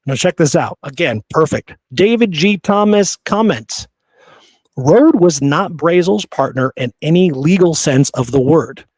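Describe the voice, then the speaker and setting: A man's voice: a little high, animated, measured pace, darkish tone, no echo. A man hosting a history podcast, talking casually and emphatically and often checking for agreement. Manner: informal, emphatic, seeks agreement with "right?".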